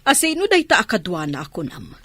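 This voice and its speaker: Female actor as well-off mother and mistress, Ilocano accent, mid pitch, animated, radio drama. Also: quick pace, no echo. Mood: matter-of-fact.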